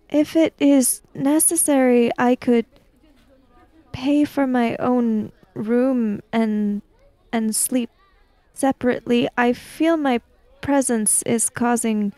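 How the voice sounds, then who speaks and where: A woman playing a tabletop roleplaying game, narrating and speaking for her character in measured, hesitant phrases. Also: fairly high pitch, a little mellow, clear, resonant tone, no echo.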